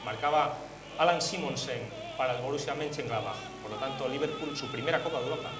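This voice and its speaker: A man's voice: mid-range voice, fast talker, music in the background. A man with an accent from Spain presents a football retrospective as host, talking conversationally through results and match action. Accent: Spanish, from Spain. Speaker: host of a football history show. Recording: noisy recording with electrical hum.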